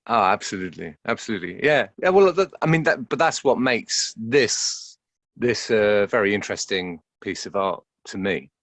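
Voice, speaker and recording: A man's voice: lowish voice, lively intonation, normal speed, fairly bright tone, no echo. A man being interviewed as an actor, speaking reflectively and hesitantly, searching for words and repeating himself.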